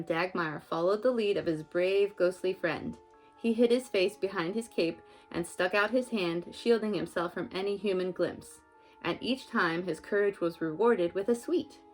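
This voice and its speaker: A young woman and mother reading a children's book aloud and then chatting gently with her child about it. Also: mid-range voice, rough recording. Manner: gentle, expressive, talks to the child.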